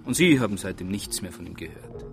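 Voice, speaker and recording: An actor playing a stern, impatient police inspector in a radio drama, questioning formally and insistently. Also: male voice, deep voice, expressive, a little fast, vocal fry. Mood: probing. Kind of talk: naturalistic radio drama acting.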